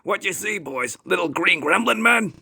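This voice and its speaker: A man playing a sarcastic, bullying authority figure in a fan-dubbed drama, with a gravelly, rough voice and mocking, theatrical delivery. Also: sits high, lively intonation, normal speed, bright, forceful tone, heavily acted. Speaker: voice actor in a fan dub.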